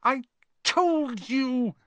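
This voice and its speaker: A man's voice: high-pitched, sing-song, normal speed, dry recording, lo-fi sound, pitched above the performer's own voice. A man voicing a bossy, exasperated, clever schoolgirl with emphatic scolding for an audiobook. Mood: breathless reproach. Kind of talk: audiobook character voice.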